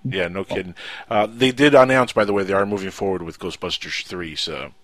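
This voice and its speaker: A man with a Cuban accent hosting a casual radio talk show, animated and quick to raise his voice. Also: sits low, dry recording. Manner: animated, quick to jump to loud outbursts.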